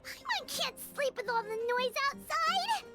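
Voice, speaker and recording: A woman voicing a tiny, childlike, excitable game companion in a whiny manner. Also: very high voice, slow pace, soft background music, heavily acted. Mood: grumpy. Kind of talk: scripted game dialogue.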